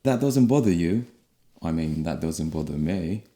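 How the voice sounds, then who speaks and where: A man doing a curt low British bloke. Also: expressive, unhurried, warm tone. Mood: blunt. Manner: curt and cheeky. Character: blunt, brash British man, a version of himself.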